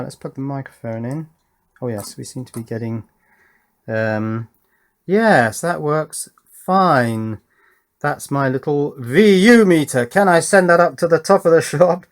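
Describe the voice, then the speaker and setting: A man's voice: fairly high pitch, a little slow. A man giving a casual hands-on electronics demonstration, musing aloud with pleased enthusiasm as he works. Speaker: electronics hobbyist presenter.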